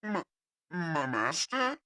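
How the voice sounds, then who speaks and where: A man voicing a cruel yet cowering alien Quintesson servant in a slow, dramatic, stretched delivery for a comic reading. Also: on the high side, big pitch swings, a crowd in the background, pitched above the performer's own voice. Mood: desperate pleading.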